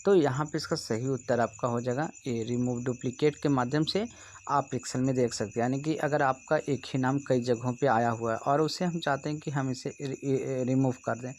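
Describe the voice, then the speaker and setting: A man's voice: medium pitch, steady tone, moderate pace, a little mellow, a little hiss or hum. A man from India with a Bihari accent, speaking as a computer teacher in an explanatory exam-prep lesson, instructive and often checking that students follow. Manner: instructive, repeatedly checks understanding.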